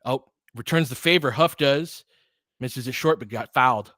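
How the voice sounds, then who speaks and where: A man's voice: mid-range voice, moderate pace, pushed and bright, no room sound, studio quality. A man doing basketball play-by-play commentary in clipped phrases, with informal asides and casual analysis.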